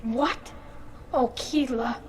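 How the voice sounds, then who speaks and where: High-voiced earnest young alien engineer. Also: female voice, very slow, slight background noise. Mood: shocked, defensive. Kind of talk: dramatic video game acting.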